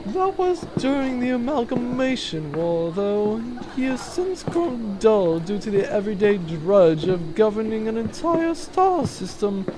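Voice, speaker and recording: A woman's voice: fairly high pitch, sing-song, unhurried, hardly any pauses, noisy background. An actress playing a bored, cruel, haughty noblewoman in a comedic sci-fi audio drama, with a pompous, theatrical drawl. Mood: smug, languid.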